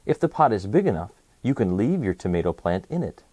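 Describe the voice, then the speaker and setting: A man with very lively, varied intonation reads an educational book aloud for children. His voice is mellow and mid-pitched, and his phrasing is simple and clear. The sound is close and dry, in the style of a kids' story host. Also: fairly quick, no room sound. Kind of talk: educational picture book read-aloud for kids.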